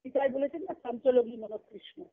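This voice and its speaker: A woman's voice: high-pitched, brisk. A woman spiritual teacher giving a devotional discourse over a phone conference call, speaking didactically in short phrases with repetition and rhetorical questions. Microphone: telephone. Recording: narrow, tinny phone conference line.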